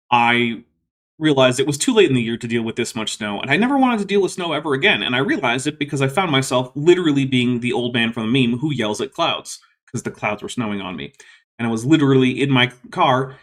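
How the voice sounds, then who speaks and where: A man's voice: mid-range voice, expressive, fairly quick, a bit bright. A man hosting a casual livestream question session, talking in a reflective, rambling way with many fillers and restarts, and a voice listeners call soothing. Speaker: YouTube host answering viewer questions.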